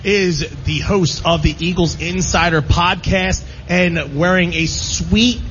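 A man's voice: high voice, fairly even intonation, slow pace. A man hosting a live sports radio show, bantering and rolling through long introductions. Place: live remote broadcast at a casino. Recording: dull lo-fi broadcast with faint background noise.

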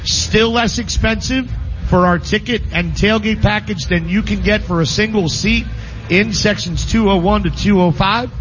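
A man's voice: sits high, a bit bright. A man hosting a live sports radio show, joking with his co-hosts and reading sponsor copy. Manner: jokey, reads sponsor copy briskly. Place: radio broadcast set at a casino. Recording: slightly dull radio studio audio, faint noise.

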